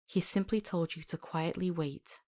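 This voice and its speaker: A woman's voice: fairly low pitch, steady tone, normal speed, warm tone, natural voice. A woman playing a compassionate, earnest and stubborn companion to a monster hunter in a visual novel. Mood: gentle, soothing.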